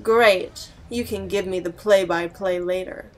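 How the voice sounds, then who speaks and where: A woman playing a bossy, impatient, sharp-tongued demon girlfriend in an audio drama. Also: fairly low pitch, unhurried, close to an everyday voice. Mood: impatient, sarcastic.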